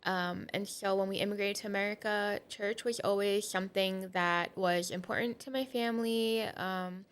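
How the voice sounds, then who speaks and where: A woman in her thirties, originally from Portugal, speaks as a podcast guest in a mid-range voice. She tells her faith story in a candid, reflective way and often pauses on "um" and "like". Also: medium pitch, steady tone, clean tone. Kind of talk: personal testimony in conversation.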